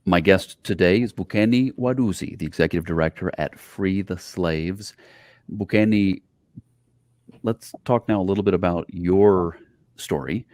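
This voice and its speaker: A man with a deep voice hosts a podcast interview. His delivery is conversational, and the recording is dry and close, free of room sound. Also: moderate pace, darkish tone, no room sound.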